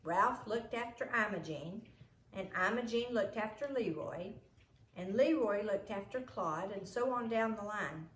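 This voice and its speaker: A woman reading a children's book aloud as a storyteller, in an expressive way. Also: medium pitch, a little slow. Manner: expressive, acts out the dialogue. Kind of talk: storybook read-aloud narration. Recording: roomy echo, noisy home recording.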